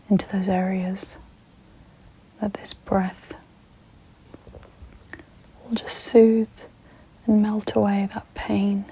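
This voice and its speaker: Whispering woman, very slow ASMR meditation guide. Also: medium pitch, rough recording. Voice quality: breathy, mostly whispered. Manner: soothing, gentle, with long pauses. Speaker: ASMR host guiding a sleep meditation.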